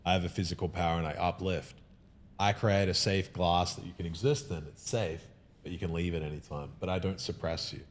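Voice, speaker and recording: A man's voice: sits low, steady tone, brisk. A man talking in a casual podcast conversation, opinionated and emphatic, often breaking off and restarting his sentences.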